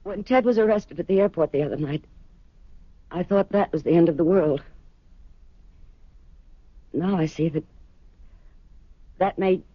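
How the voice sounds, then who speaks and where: An actress playing a hurt, determined middle-aged wife in a radio drama. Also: female voice, fairly low pitch, unhurried, pauses often, dry recording. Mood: guarded, weary. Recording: dull vintage radio recording.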